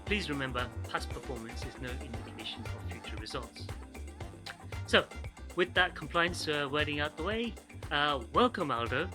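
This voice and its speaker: A man's voice: a little high, lively intonation, over music. A man hosting a podcast, reading a scripted intro and disclaimer, then asking questions with fillers and restarts. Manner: reads a compliance disclaimer at a brisk clip, hesitant with fillers when unscripted. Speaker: podcast host.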